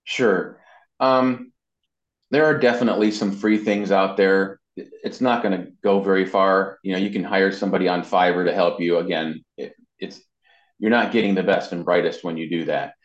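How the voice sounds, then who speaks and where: A man's voice: low voice, fairly even intonation, moderate pace. A man speaking conversationally as an interview guest, explaining social media marketing practice. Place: indoors. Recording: muffled, band-limited, roomy echo.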